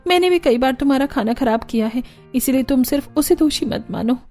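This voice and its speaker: A woman's voice: high-pitched, lively intonation, fairly quick, a little mellow, soft background music. A woman voicing a jealous but remorseful elder daughter-in-law in a cartoon drama, speaking plainly and earnestly. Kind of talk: Hindi cartoon family drama.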